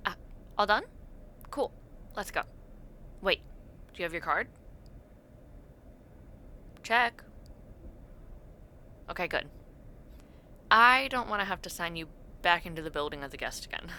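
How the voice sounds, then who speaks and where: Bright, expressive young student neighbour played by a woman. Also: on the low side, a little hiss or hum, heavily acted. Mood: casual. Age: young adult. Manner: teasing, casual, expressive with drawn-out words and murmured reactions. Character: friendly, teasing young woman university student, a neighbour in the dorm. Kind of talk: audio roleplay, casual one-sided conversation with the listener.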